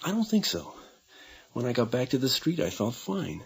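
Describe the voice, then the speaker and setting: A man's voice: medium pitch, wildly swooping pitch, moderate pace. An actor playing an anxious, troubled American father in a radio drama, hesitant and defensive in his speech. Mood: shaken, uneasy. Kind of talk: naturalistic radio drama acting.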